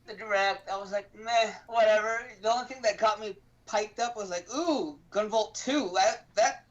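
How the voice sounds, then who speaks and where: A man's voice: high-pitched, moderate pace. A man co-hosting a gaming livestream, chatting casually in a blunt, offhand way. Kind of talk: casual chat. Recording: muffled, lo-fi stream audio.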